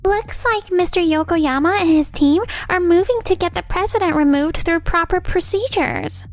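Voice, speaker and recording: A woman's voice: extremely high pitch, normal speed, no room sound. A woman playing a friendly, anxious young female office coworker in a manga dub, with a girlish, eager delivery. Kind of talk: anime-style manga dub acting. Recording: background music under the voice. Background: music.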